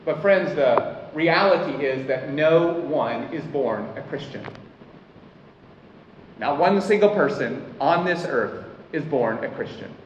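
Pastor delivering a sermon, earnest and deliberate; dull, roomy recording with faint ambience. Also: male voice, a little high, animated, slight background noise. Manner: earnest preaching, slows to stress key lines. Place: church hall. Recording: echoey hall, mic at a distance, dull sound.

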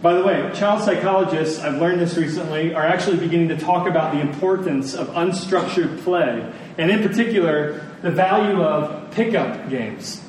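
Male pastor, slightly high voice, earnest conversational sermon delivery with emphatic pauses, in a very echoey room. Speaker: pastor preaching. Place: large room, preaching to a congregation. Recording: echoey room, distant mic, faint noise.

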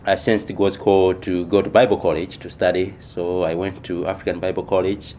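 A man from Malawi, a missionary church planter, answering interview questions in a thoughtful, unhurried way with many pauses. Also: sits low, little pitch movement, a little mellow, slight background noise.

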